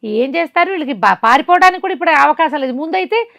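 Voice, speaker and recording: A woman telling a folk moral tale in a conversational, dramatic way, pausing for asides and rhetorical questions. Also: sits high, lively intonation, creaky, a bit bright, no room sound, muffled recording. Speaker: storyteller. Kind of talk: animated oral storytelling of a folk moral tale.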